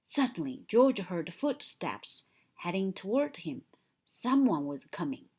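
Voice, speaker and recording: Slow-paced woman reading a children's story. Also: medium pitch, very animated, darkish tone, slightly rough. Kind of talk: expressive read-aloud storytelling for young children.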